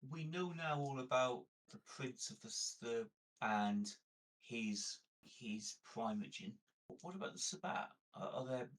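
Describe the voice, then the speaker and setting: A man playing a tabletop roleplaying game, asking questions in character in a halting, hesitant way. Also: mid-range voice, fairly bright tone, slight background noise, rough recording. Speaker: player.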